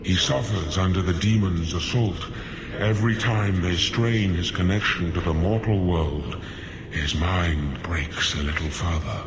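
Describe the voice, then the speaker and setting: An actor playing a languid, sly sloth demon in a video game, drawling smugly as it bargains. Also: male voice, sits low. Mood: smooth persuasion. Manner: slow, drawling, smugly unhurried. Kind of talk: video game cutscene acting. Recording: very noisy game capture with background ambience.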